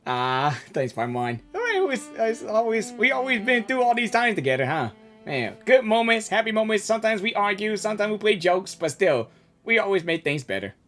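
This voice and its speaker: Lively casual woman reacting to a game. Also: medium pitch, moderate pace, faint music behind. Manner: informal, talks back to the game characters. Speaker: gaming reaction streamer.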